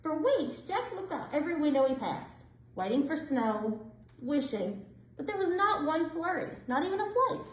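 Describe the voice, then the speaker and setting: A woman with a high voice narrates a picture book for children. Her delivery swings up and down expressively, with deliberate phrasing. The room adds a clear echo. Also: very animated, slight background noise. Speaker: librarian reading stories aloud for children. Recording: roomy indoor echo.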